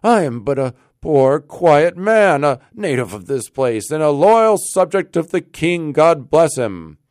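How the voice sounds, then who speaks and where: A man's voice: fairly high pitch, sing-song, darkish tone, no room sound, studio quality, pitched above the performer's own voice. A man voicing a humble, bewildered old villager in a storybook reading, anxious and pleading, with hesitant, exclaiming speech. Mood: dismayed, pleading. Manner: hesitant, halting with uhs, exclaiming. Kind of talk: dramatic storybook character reading.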